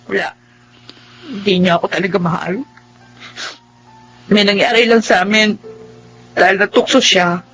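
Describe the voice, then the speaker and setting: A woman's voice: mid-range voice, fairly even intonation, a little slow, long pauses, a bit bright, faint music behind, low-quality recording. An actress playing a remorseful, earnest young single mother in a radio drama, with a Filipino accent. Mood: sad, resolute. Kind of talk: radio drama acting and narration.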